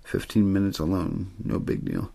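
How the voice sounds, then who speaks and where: A man reading a story aloud in a low-key, untheatrical ASMR style, with a gravelly, husky voice. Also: steady tone, normal speed, warm tone. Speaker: audiobook-style story reader. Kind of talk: ASMR bedtime reading of a horror novel.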